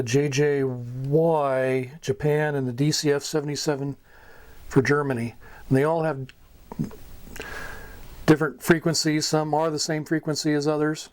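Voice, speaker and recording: An American man with a voice pitched a little above average gives an informal talk. He explains things for beginners as a hobbyist would, in an unscripted instructional style. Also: darkish tone. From United States. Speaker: amateur radio hobbyist teaching beginners.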